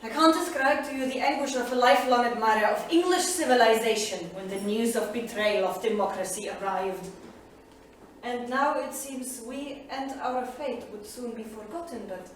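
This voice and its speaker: An actress in a staged reading, playing a proud, earnest heroine in a solemn, dramatic manner. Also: female voice, on the high side, moderate pace, close to an everyday voice. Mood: earnest, anguished. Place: theatre studio stage, far microphone. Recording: distant echoey theatre studio, faint noise.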